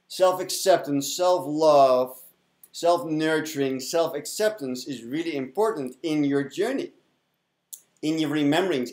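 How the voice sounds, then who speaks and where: A man's voice: a little high, darkish tone. Dutch man, roomy echoing sound. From Netherlands. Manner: effusive, repeating affirming words. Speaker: spiritual mentor and broadcast host. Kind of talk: motivational spiritual talk to camera.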